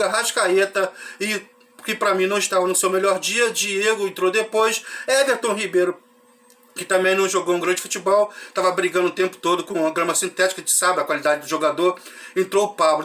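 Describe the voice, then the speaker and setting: A man, hoarse with a dry cough, recapping a football match casually for fans and tagging his sentences with questions. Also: sits high, sharp tone. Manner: chatty, frequent "né?" tag questions. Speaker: football channel host.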